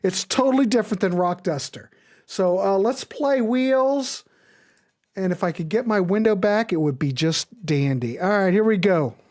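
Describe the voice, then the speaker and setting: A woman's voice: mid-range voice, normal speed, a little mellow. A woman hosting a music show with casual, off-the-cuff chat, folksy and chatty, often thinking aloud.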